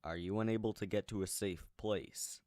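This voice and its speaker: Man reading as a low-voiced, reassuring female dispatcher. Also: fairly even intonation, normal speed, darkish tone, no echo, clean studio sound, natural voice. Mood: reassuring, probing. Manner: clear procedural questioning, reassuring. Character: female police emergency dispatcher, calm, professional, reassuring. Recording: music behind the voice.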